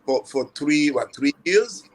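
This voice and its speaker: A man's voice: a little high, lively intonation, unhurried. Older Haitian man, emphatic storyteller. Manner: emphatic, repeats phrases for effect. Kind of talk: conversational storytelling.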